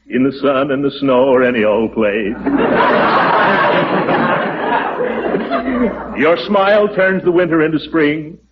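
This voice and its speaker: Through a dull, noisy old radio recording comes a man acting a blustery middle-aged American uncle. He is a pompous, scheming bumbler, and his theatrical voice swings widely in pitch as he chuckles and flusters. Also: lightly acted. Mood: gushing recital.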